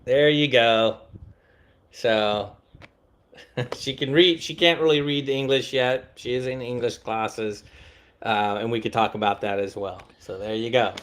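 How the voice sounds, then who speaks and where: A man co-hosting a livestream Q&A, talking in a relaxed way and laughing easily with asides to viewers. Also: medium pitch, unhurried. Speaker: livestream co-host. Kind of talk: casual Q&A chat.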